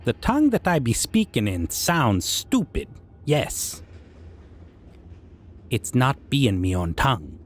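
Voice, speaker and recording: A man's voice: mid-range voice, huge pitch swings, takes it slowly, pauses often, pitched above the performer's own voice, exaggerated voice. A man voicing a proud, amused, menacing goblin king who speaks in broken grammar and musing hums. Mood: mocking. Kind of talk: roleplay audio monologue.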